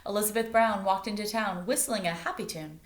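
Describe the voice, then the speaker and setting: A woman reading a picture book aloud like a teacher, expressively, pausing to explain things and put questions to young listeners. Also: mid-range voice. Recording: roomy, echoing indoor sound.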